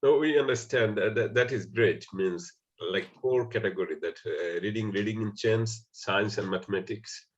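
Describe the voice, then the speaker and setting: A man's voice: on the low side, moderate pace, hardly any pauses. A man speaks in muffled, lo-fi audio from an online call. He moderates politely and informally, repeating words as he forms his questions.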